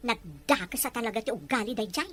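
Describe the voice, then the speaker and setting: A woman playing a sharp-tongued, gossiping older wife and mother who grumbles in an audio drama. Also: on the high side, expressive, fast talker, breathy edge. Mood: grumbling. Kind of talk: Ilocano audio drama acting.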